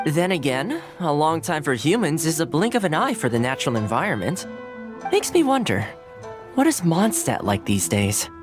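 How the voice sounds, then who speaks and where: An actor playing a friendly, easygoing young male retainer in a video game, talking in a relaxed, chatty way. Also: on the high side, moderate pace, music in the background. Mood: cheerful. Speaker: game character.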